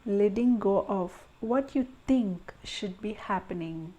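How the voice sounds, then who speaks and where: A woman guides a meditation with a soft, soothing delivery. Her tone is mellow and warm, at a middle pitch for a woman. She moves very slowly, setting down short phrases with pauses between them.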